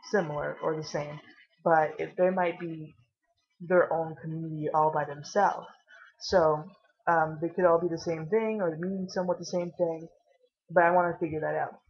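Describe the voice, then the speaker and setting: A tired, caffeinated woman vlogging casually with a low voice, rambling and swearing now and then. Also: muffled recording.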